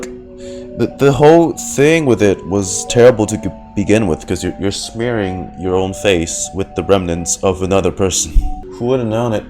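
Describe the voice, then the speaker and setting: Deep-voiced man reacting to a game. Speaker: gaming streamer reacting to a story. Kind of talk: casual live reaction commentary. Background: game music.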